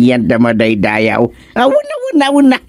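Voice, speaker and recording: Animated, excitable older Ilocano husband. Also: male voice, mid-range voice, exaggerated voice. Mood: boastful, flustered. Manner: exclamatory, chuckling, drawn-out reactions. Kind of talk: Ilocano radio comedy-drama acting.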